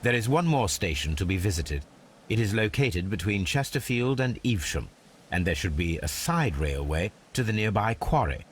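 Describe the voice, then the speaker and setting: An actor playing a brilliant, aloof gentleman detective in a video game, with a British accent and a precise, measured, analytical delivery. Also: lowish voice, expressive, slight background noise, lightly acted. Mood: observing. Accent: British English. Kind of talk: video game character acting.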